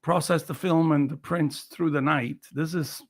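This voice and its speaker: Older Italian man with a creaky voice. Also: a little high, steady tone, a little slow. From Naples, Italy. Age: 60s. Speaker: interview guest, professional photographer. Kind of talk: personal storytelling in a casual interview.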